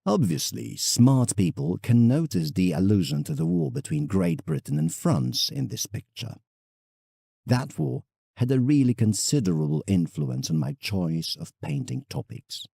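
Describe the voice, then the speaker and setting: A man's voice: mid-range voice, big pitch swings, a little slow, creaky, mellow, slightly rough, dry recording, studio quality, exaggerated voice. A man playing a proud, reflective English landscape painter in an audio drama, telling his travels formally as a letter to a friend.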